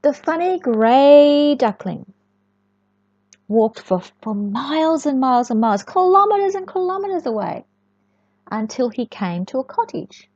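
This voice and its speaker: A woman reading a storybook to young children in an expressive, sing-song way, often chatting and asking the listener questions. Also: high voice, a little slow. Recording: echoey room, dull lo-fi sound.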